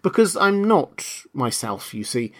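A man's voice: mid-range voice, sing-song, unhurried. A man voicing a polite, earnest and flustered little girl in a storybook, with hesitant phrasing. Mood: shy, confused.

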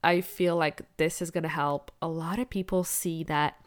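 A woman's voice: low voice, fairly even intonation, normal speed, dry recording. A woman who grew up in Quebec and speaks French natively, hosting a solo podcast as a business coach in an upbeat, candid, motivational way. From Quebec, Canada. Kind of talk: casual solo podcast monologue.

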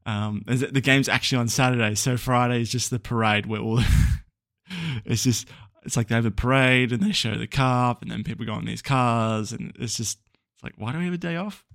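A man's voice: medium pitch, fairly quick, vocal fry, a bit bright, dry recording, studio quality. A young Australian man hosting a casual solo podcast, rambling and chatty with lots of ums and small laughs. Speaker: podcast host.

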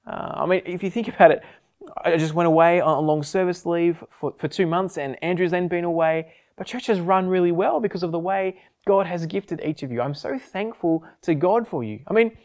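A man's voice: sits high, fairly quick, a little mellow. A man who pastors a church, addressing his congregation and thanking them earnestly.

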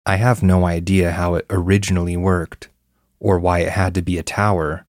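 Male narrator, very low register, dry close studio sound, scripted first-person horror storytelling. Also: flat delivery, normal speed, a little mellow, no echo.

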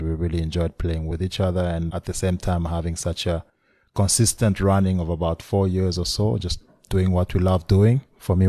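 A man's voice: deep voice, warm tone, dry recording, studio quality. A man in his forties from the Congo, a musician guest speaking remotely in a reflective, storytelling way. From Democratic Republic of the Congo. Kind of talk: casual remote interview. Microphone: remote call.

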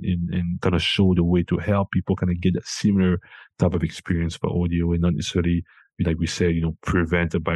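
Very low, flat-voiced man. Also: no room sound. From Cameroon. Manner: hesitant, many restarts and fillers. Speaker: founder and CEO, guest. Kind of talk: casual interview talk.

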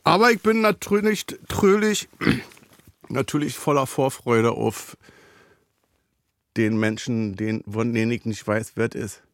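A man rambles through a casual podcast chat with a cold, breaking off and restarting his thoughts as he jokes. His voice sits in an ordinary middle pitch, recorded close and dry with no room sound. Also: a little slow, dry recording. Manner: rambling, self-interrupting, jokey. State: has a cold. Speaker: podcast host.

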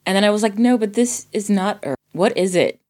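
A woman from Sweden, a native Swedish speaker, casually discussing a film in a thoughtful way, often pausing to rephrase. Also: medium pitch, animated, a little mellow. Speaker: podcast guest reviewing a film.

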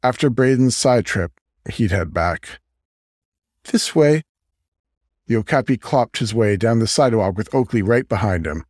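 A man's voice: on the low side, moderate pace, darkish tone, no echo, studio quality. A man reading a scripted fiction story aloud as an audiobook narrator.

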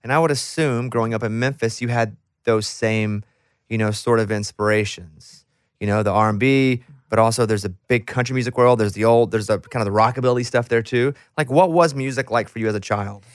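A man's voice: medium pitch, brisk, clean tone, no echo. Chatty man hosting, dry sound. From Arkansas, USA. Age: 30s to 40s. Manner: chatty, informal, talks at length before questions. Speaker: interview host. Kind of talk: casual conversational interview.

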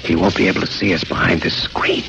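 An actor playing a shrewd, wisecracking crime photographer in a 1940s radio drama, with a wry, confident manner. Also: male voice, deep voice, fairly even intonation, fast talker, gravelly, muffled recording, over music. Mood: hushed, tense. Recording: faintly noisy old 1940s radio recording.

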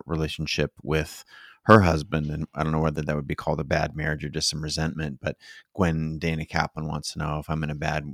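Very deep, even-toned male host. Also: creaky, no echo, studio quality. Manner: halting, deliberate phrasing with pauses. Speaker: interview host. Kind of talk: hosting a live online interview with audience questions.

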